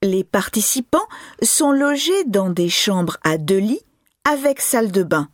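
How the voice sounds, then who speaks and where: A woman reading an information notice slowly and clearly as the reader of a language listening exam. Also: medium pitch, big pitch swings, no echo, clean studio sound. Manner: slow, deliberate, clearly articulated.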